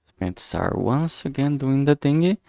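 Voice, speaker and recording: A man thinking aloud in a halting way, chatting casually on a solo livestream as he works. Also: medium pitch, animated, gravelly, warm tone, no room sound. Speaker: streamer making a visual novel. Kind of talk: casual solo livestream chat while working.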